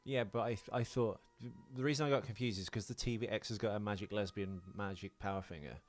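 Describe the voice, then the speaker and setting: A man's voice: on the low side, quick pace. A man, middle-aged, co-hosting a podcast in a casual film discussion, explaining his points insistently. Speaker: podcast co-host.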